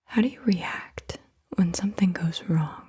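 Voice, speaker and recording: Breathy whispering woman, soft ASMR questioning. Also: on the low side, measured pace. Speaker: ASMR host asking questions. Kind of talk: soft-spoken ASMR, reading scripted questions. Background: computer keyboard typing.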